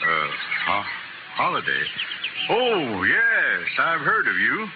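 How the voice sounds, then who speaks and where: An actor playing a flustered frontier judge in a 1950s radio western, hesitant and stammering. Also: male voice, medium pitch, wildly swooping pitch, slow. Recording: muffled, very noisy 1950s radio recording.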